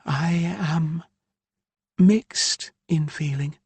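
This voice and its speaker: A man's voice: on the high side, darkish tone, breathy edge, dry recording, voice pushed up, exaggerated voice. A man voicing an irritated, exasperated mother in an audiobook, with an edgy, emphatic delivery. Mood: annoyed. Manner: edgy, drawn-out emphatic speech. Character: irritated, exasperated wife and mother, female healer.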